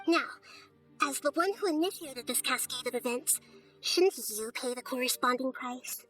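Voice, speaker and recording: Casting: shrewd little goddess of wisdom, high bright voice, calm, articulate, firm game delivery. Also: female voice, normal speed. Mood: cool, probing. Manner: poised, articulate, quietly firm. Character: small, childlike female god of wisdom, calm and shrewd. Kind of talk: video game cutscene acting. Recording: studio voice over game music.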